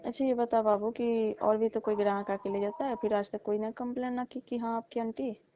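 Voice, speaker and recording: A woman's voice: fairly high pitch, level tone, brisk, warm tone, lightly acted. A woman playing a chatty, defensive fruit-seller auntie in a comic phone call, talking colloquially and repeating herself. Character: chatty, defensive middle-aged auntie who sells fruit. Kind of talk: staged comic phone conversation, casual chat. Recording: muffled lo-fi call recording with hiss.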